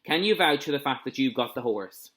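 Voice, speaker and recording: A storybook police inspector , read aloud by a man, speaking at a middle pitch. He is official and measured, stressing his points, stern but ready to approve. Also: expressive, pushed and bright.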